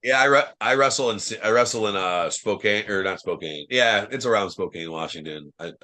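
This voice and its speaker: Loud, profane young man from Ohio. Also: fairly low pitch, a little fast. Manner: loose, profane storytelling, lots of fillers; says he has a loud voice. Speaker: guest, professional wrestler. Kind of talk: casual podcast chat.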